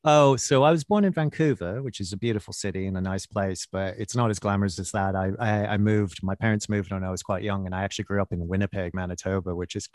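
A middle-aged man with a Canadian accent and a fairly low voice talks easily as an interview guest. He rambles warmly through his story, with frequent fillers, restarts and laughs. The sound is dry and close, with no room around it. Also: fairly even intonation, fast talker, hardly any pauses, no room sound. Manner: chatty, laughs often, many fillers and restarts. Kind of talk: casual chat.

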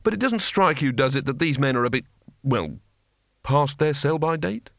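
A man's voice: medium pitch, expressive, moderate pace, vocal fry, fairly bright tone, no room sound, clean studio sound, pitched above the performer's own voice. A man voicing a nervous, doubtful wizard in an audiobook story, hesitant and wryly sarcastic as he questions things. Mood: hesitant, tactful.

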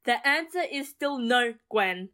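A woman's voice: on the high side, expressive, unhurried, sharp tone. An actress playing a firm, coolly dismissive woman in an audio drama, speaking in a matter-of-fact, slightly condescending way. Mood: firm refusal.

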